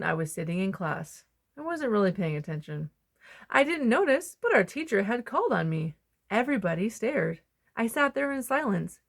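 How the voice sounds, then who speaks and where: Female storybook reader, mid-pitched, very animated intonation, dramatic pauses for young listeners. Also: vocal fry. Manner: expressive, dramatic pauses and emphasis. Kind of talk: children's picture book read-aloud.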